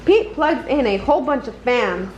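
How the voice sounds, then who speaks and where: A woman reading a children's picture book aloud in a deliberate, expressive storytelling manner. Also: fairly high pitch, dull audio, a little hiss or hum. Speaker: storybook reader.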